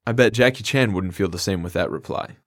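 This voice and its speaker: A man's voice: low-pitched, brisk, no room sound. A man co-hosting a scripted language lesson, explaining phrases in an upbeat, plain way. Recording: dry studio recording.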